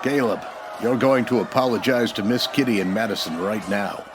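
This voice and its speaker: A man's voice: lowish voice, normal speed, music in the background, natural voice. A man playing an upright, plain-spoken Old West marshal in a radio western, earnest and folksy. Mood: stern.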